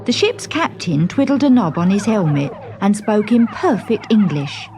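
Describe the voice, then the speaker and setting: A woman narrating a scripted children's story in a dramatic, expressive storytelling manner. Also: mid-range voice, normal speed, music in the background.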